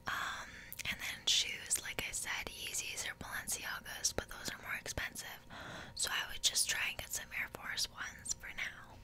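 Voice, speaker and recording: Young woman whispering slowly, crisp and bright. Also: poor audio. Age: young adult. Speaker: ASMR creator. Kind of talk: whispered ASMR roleplay. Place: small bedroom, indoors. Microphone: close desk microphone.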